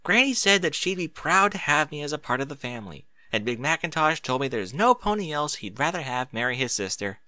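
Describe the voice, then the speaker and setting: A man voicing an eager, bookish young female pony in a story, earnest, precise and excitable. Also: fairly high pitch, brisk, few pauses, no room sound, voice pushed up, exaggerated voice. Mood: earnest, happy.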